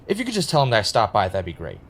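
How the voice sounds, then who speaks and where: A man acting as a wry, uneasy podcast host in an audio drama, with a casual, halting, conversational delivery. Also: on the low side, wildly swooping pitch, a bit bright, slight background noise, exaggerated voice. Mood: awkward. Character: Ray, wry, uneasy male podcaster investigating his own death.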